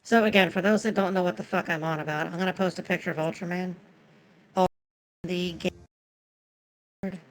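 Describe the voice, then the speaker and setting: A woman's voice: on the low side, fairly even intonation, fairly quick, long pauses, low-quality recording. A woman whose first language is Japanese, chatting casually and profanely with viewers while streaming a game.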